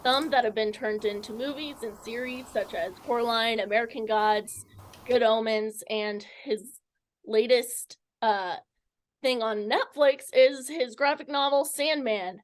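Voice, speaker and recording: Slow-paced, higher-voiced woman interviewer. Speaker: interviewer, host. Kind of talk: interview introduction.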